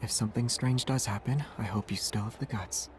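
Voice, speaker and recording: A man playing a calm young monster hunter in a video game, teasing in an understated, deadpan way. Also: mid-range voice, a little fast, noisy background, natural voice.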